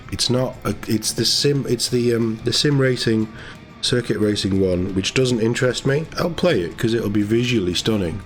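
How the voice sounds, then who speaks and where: Male gaming streamer, fairly low pitch, exasperated and unscripted, sweary and opinionated, over a guitar music bed. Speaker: gaming news video host and streamer. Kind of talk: opinionated off-the-cuff commentary.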